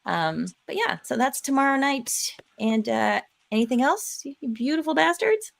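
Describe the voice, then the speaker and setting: A woman's voice: high-pitched, big pitch swings, moderate pace, gravelly. A woman hosting a live panel stream, chatting casually as she wraps up the show. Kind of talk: casual chat, signing off a livestream.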